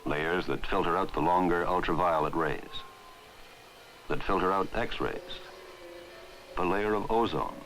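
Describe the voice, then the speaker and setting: A man reading scripted narration for a 1960s educational film in a measured, formal way. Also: deep voice, a little hiss or hum, poor audio. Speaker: documentary narrator. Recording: 1960s film soundtrack, loud music. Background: loud background music.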